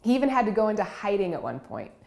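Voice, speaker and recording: Casting: a woman keynote speaker from Cameroon and Iowa, expressive storyteller, mid-pitched voice. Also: fast talker, vocal fry. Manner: warm storytelling, vivid and expressive. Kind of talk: personal storytelling speech.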